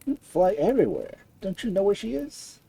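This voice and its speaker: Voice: medium pitch, wildly swooping pitch, normal speed, creaky, mellow, husky, no room sound, pitched above the performer's own voice, full character voice. A storyteller voicing a kind-hearted, humble fairy-tale prince in an earnest, pleading manner.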